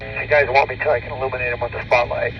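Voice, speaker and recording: A man with a mid-pitched voice speaks over police radio in a clipped, procedural way. The sound is dull and lo-fi, with clear vehicle noise running behind him. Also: flat delivery, very fast, far from the mic. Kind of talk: police radio dispatch traffic. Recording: noisy muffled police radio, vehicle ambience.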